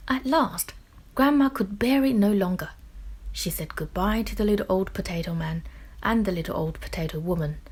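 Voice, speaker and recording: A woman narrates a storybook for children at a slow, deliberate pace. Her voice is on the low side and quite husky, with expressive, dramatic phrasing.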